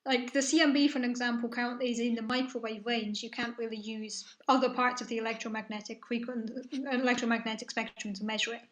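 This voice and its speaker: A woman's voice: a little high, level tone, quick pace, few pauses, roomy sound. A young woman from the south of England, raised in Spain, with a mixed British-European accent, chatting casually as the host of a live science stream and answering viewer questions. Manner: chatty, reassuring, friendly explaining.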